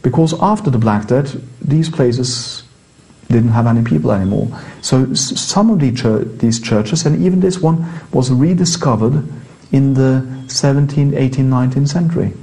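A Norwegian priest, a man with a Norwegian accent, explains things conversationally in English, halting and rephrasing as he goes. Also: mid-range voice, dark tone, rough recording. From Norway.

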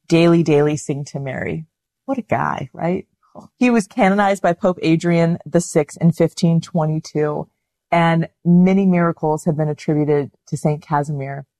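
A woman's voice: sits low, darkish tone, dry recording. A woman presenting an online video about a saint in a chatty, enthusiastic way. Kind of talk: casual talk about a saint.

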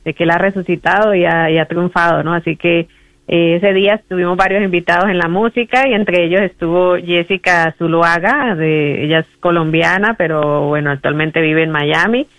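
High-voiced man on a dull line. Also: steady tone, normal speed, fairly bright tone, dry recording. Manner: hesitant, filler-heavy. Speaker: radio co-host. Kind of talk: radio introduction.

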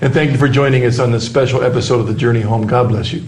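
A man hosting an interview program, gently prompting his guest with questions. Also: medium pitch, fairly even intonation, racing, music in the background. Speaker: show host.

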